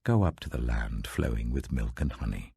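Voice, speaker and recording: A British man voicing God as a stern, majestic deity, slow, weighty and commanding, in a scripture reading. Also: extremely low pitch, lively intonation, vocal fry, mellow, breathy edge, no echo, clean studio sound, pitched below the performer's own voice, exaggerated voice.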